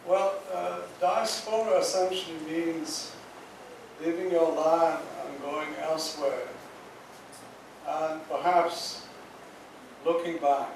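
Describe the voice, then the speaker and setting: A man from Goa recalling his upbringing on a panel, speaking slowly and reflectively with frequent pauses. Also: on the high side, steady tone, creaky. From Goa, India. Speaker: panel guest, author. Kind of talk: recounting memories on a panel. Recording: distant mic in echoey hall, faint noise.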